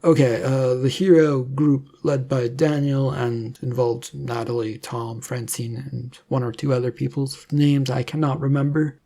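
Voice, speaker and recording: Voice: medium pitch, fairly even intonation, a little slow, hardly any pauses, warm tone. A narrator voicing a nervous, bewildered young male hero in an adventure story, hesitant and stammering. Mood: wary bargaining.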